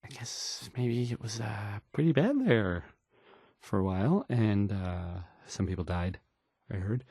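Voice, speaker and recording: An actor playing a nervous, bumbling, insecure male radio DJ in a video game, with a halting, stammering, unsure delivery. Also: lowish voice, expressive, dark tone, husky, no room sound, clean studio sound, heavily acted. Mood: uneasy, hesitant. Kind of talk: in-character radio news broadcast, video game voice acting.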